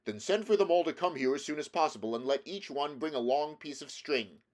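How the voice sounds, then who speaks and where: A man voicing a confident, helpful scarecrow from a storybook, brisk and emphatic. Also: on the high side, a little mellow, pitched above the performer's own voice, natural voice. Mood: brisk, directing. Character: male scarecrow, quick, confident, helpful planner. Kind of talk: storybook character reading.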